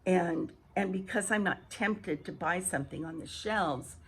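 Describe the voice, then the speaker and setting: A woman vlogger with a hoarse early-morning voice, chatting casually and haltingly to viewers as she repeats and restarts phrases. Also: lowish voice. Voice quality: husky, hoarse. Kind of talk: casual rambling chat to viewers. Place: outdoor home patio.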